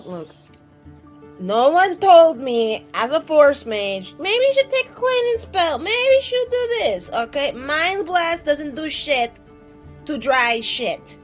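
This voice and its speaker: A woman voicing an excitable, flustered young female mage who gushes in slangy exclamations in a tabletop game. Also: high-pitched, slow, soft background music, voice pushed up, heavily acted. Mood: indignant. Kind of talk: tabletop roleplaying in character.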